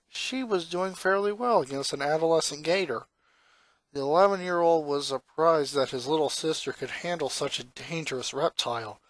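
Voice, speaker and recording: A man reading a story aloud as an expressive amateur narrator. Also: fairly high pitch, no room sound. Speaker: narrator reading fan fiction.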